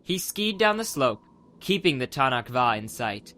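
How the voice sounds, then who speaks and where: A man's voice: mid-range voice, big pitch swings, moderate pace, sharp tone. A man narrating a dramatized adventure audiobook from a script as the storyteller.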